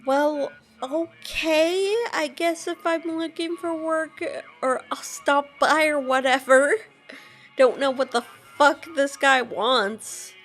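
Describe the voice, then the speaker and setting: Girl gaming, slow easy talk, swears a bit, kinda high voice, music in back. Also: steady tone, fairly bright tone. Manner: informal, sweary. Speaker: game player. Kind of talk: casual playthrough reactions, spoken in character.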